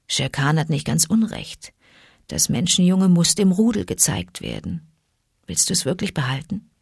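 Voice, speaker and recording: A woman reading a storybook and voicing a calm, careful father wolf who explains rules in measured tones. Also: deep voice, no echo, studio quality. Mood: worried.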